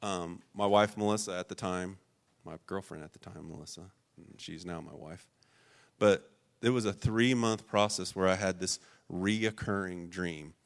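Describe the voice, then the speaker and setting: A man delivers a sermon in a fairly low voice. He talks earnestly and emphatically, with louder bursts on key points and softer confiding asides. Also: fairly even intonation, normal speed, vocal fry. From rural Ohio. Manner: earnest and emphatic, swinging between raised stresses and quiet personal asides. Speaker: pastor.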